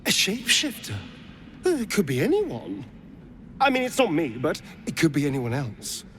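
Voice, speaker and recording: An actor playing a jittery, joking adventurer in a video game, quipping in an animated manner. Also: male voice, fairly high pitch, moderate pace, a little hiss or hum. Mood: alarmed, quipping. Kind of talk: video game character acting.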